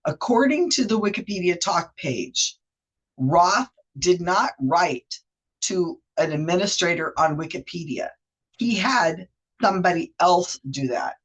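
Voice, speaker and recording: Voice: medium pitch, expressive, a little slow, a bit bright, slightly rough, low-quality recording. A Wikipedia editor with a cold giving a chatty, rambling walkthrough of pages shared on screen, often correcting themselves mid-sentence. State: has a cold. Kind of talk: casual screen-share explainer.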